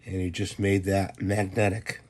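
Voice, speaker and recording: A man with a hoarse voice from a cold, hosting his own channel and casually walking through a simple do-it-yourself fix with plain, unhurried instructions. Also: sits low, monotone. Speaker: DIY channel host. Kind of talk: casual how-to demonstration.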